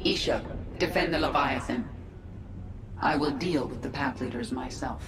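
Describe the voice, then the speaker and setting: Low, echoing alien queen. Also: normal speed, recorded from a distance, a loud crowd. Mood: menacing. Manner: commanding, self-assured. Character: transformed alien swarm queen, cold, proud, defiant. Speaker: lead character. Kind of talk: video game cutscene acting. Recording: echoey game audio under loud music.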